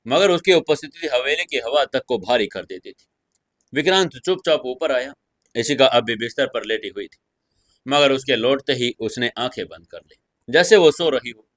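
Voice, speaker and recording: A man narrates a serialized romantic drama in a dramatized storytelling style. His voice sits at a middle pitch, and the sound is very dry, with little room in it. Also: fairly bright tone, no room sound.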